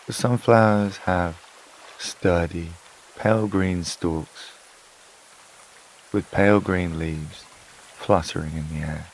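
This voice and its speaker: A man narrating a scripted sleep meditation in a calm, soothing, unhurried way, with long pauses between phrases. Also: sits low, low-quality recording. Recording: water and rain sounds behind voice. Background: flowing stream, rain-like ambience.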